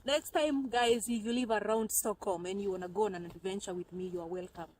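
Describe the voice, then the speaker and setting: A woman's voice: medium pitch, steady tone, a little fast, darkish tone, a little hiss or hum, low-quality recording. A Kenyan woman vlogging on her phone as she walks through a cold forest outdoors, chatty and laughing often. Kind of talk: casual walking vlog. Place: snowy forest outdoors in winter. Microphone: phone camera.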